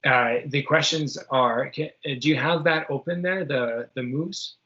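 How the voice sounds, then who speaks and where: A man working as game master of a tabletop superhero game, improvising narration and explaining rules in a halting way, searching for his words. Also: medium pitch, pushed and bright, dull audio.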